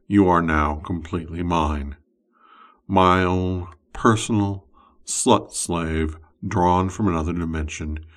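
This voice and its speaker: A man's voice: sits low, fairly even intonation, slow. A man voicing a commanding, possessive male wizard who gives stern orders.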